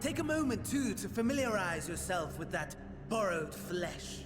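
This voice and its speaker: Game villain: high-voiced, cruelly polite aristocrat, theatrical and mocking, over music. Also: male voice, big pitch swings, moderate pace. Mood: mock courtesy. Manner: theatrical, genteel, mock-polite delivery. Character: suave, mocking aristocratic male villain, cruelly courteous host. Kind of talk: scripted video game acting.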